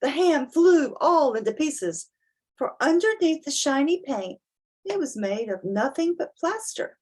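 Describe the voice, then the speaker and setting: Woman, higher-pitched voice, dramatic children's storyteller, deliberate emphasis. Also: sing-song, darkish tone. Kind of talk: expressive dramatic storybook reading with casual asides.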